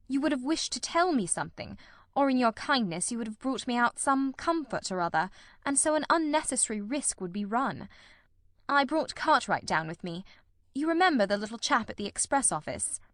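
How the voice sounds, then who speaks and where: A woman acts out a lean, keen gentleman detective in an audiobook. The voice is high for the part and recorded very dry. The delivery is precise, composed and politely teasing, with an incisive, ironical edge. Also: lively intonation, normal speed, no echo. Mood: calm, reassuring. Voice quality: cold, incisive. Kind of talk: audiobook character dialogue.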